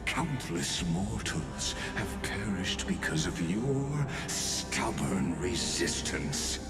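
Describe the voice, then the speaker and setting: An actor playing a cold, menacing villain commander in a video game, speaking deliberately with theatrical cruelty. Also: male voice, a bass voice, echoey room, full character voice. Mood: cold menace. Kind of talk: scripted video game acting.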